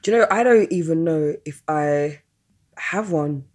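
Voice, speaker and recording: Casual podcast host: woman, very low-pitched voice, informal and slangy in a chatty interview. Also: a little slow, darkish tone.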